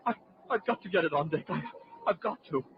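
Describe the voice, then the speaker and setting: A frantic, obsessed character with a high voice. He pleads over and over in old-time radio drama style. The recording is dull and clearly noisy. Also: animated, fairly quick. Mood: frantic pleading. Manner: pleading, breathlessly repeating himself. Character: desperate, obsessed man pleading frantically with his friend.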